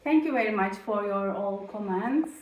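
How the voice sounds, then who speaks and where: A woman's voice: mid-range voice, moderate pace, roomy sound, distant mic, highs cut off. A woman from Turkey, a native Turkish speaker, co-hosting a farm vlog in casual chat, laughing often and speaking in simple phrases. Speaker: channel co-host.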